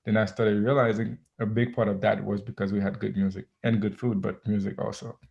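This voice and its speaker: A low, gravelly-voiced man talks easily as a guest, drifting through ums and restarts. His voice comes through a dull, lo-fi recording. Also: fairly quick. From Haiti. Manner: relaxed, many ums and restarts. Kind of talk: casual interview chat. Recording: dull lo-fi audio.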